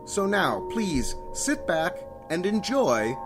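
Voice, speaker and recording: A man working as a radio announcer, giving a measured, scripted introduction to a radio play. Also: high-pitched, sing-song, over music. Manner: measured, presentational.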